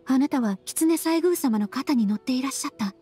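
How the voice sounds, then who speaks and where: Wistful female spirit, anime game: higher voice, soft breathy delivery, courteous and hesitant, music bed. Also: expressive, a little fast. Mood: wistful, sorrowful. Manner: soft, polite, hesitant, often slipping into breathy murmur. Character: wistful, gentle, polite spirit woman in human form. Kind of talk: Japanese anime game voice acting.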